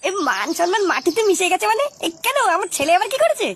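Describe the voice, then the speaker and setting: A performer voicing an excitable, foul-mouthed, defensive father in a comedy cartoon, argumentative and exasperated. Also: extremely high pitch, fast, a crowd in the background, heavily acted. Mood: puzzled. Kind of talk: exaggerated cartoon comedy dialogue.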